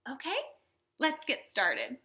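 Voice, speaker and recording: A woman hosting a storytime for young children, teaching in simple words with an animated, sing-song manner and questions to her small viewers. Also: high-pitched, takes it slowly. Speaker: children's librarian, storytime host.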